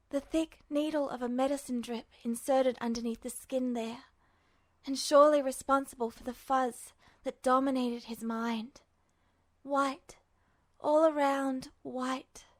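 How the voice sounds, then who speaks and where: A woman reading fiction aloud as a scripted story narrator, measured, with dramatic pauses. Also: high-pitched. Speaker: amateur audiobook reader.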